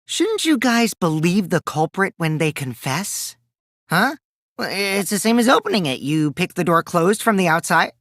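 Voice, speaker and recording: A man's voice: high-pitched, very animated, normal speed, a bit bright, dry recording, studio quality, exaggerated voice. An actor playing a mischievous, taunting teenage boy in a video game, theatrical and teasing, with a youthful boyish voice that can turn from cutesy to cold. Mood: sly, teasing. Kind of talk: animated game dialogue in a murder-mystery trial.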